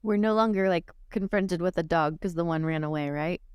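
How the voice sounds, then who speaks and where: A woman's voice: on the low side, fairly quick, a little mellow, clear, resonant tone, no echo. Woman player in dry, close sound. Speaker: player in a tabletop roleplaying game. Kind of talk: casual game chat.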